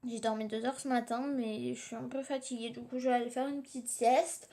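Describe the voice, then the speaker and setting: A tired, slightly hoarse young woman, rambling casually through a home vlog on her tablet, full of fillers and restarts. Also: on the high side, little pitch movement, fairly quick, mellow. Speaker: vlogger. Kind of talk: casual daily vlog chat. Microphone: tablet camera.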